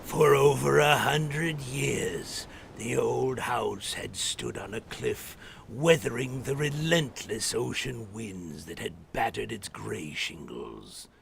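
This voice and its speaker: A man playing an eerie, gleefully morbid horror storyteller in the theatrical, drawn-out style of 1940s radio. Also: medium pitch, expressive, gravelly, noisy background, full character voice. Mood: ominous storytelling. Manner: theatrical, macabre, drawn-out delivery. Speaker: host and narrator of a horror show. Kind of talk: 1940s radio horror acting, dramatic narration.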